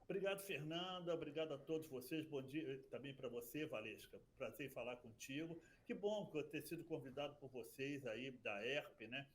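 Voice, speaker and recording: A man's voice: mid-range voice, fairly quick, few pauses, a little hiss or hum. A man who works as a radio broadcaster and producer, talking in a chatty interview and telling stories with many restarts. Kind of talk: casual interview talk.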